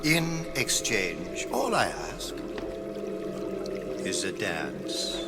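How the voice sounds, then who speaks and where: An actor playing a flamboyant, menacing mad artist in a video game, with grandiose, florid delivery that lurches between whispers and shrieks. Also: male voice, low-pitched, huge pitch swings, extremely slow, music in the background, heavily acted. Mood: sly, menacing. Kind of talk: theatrical video game villain acting.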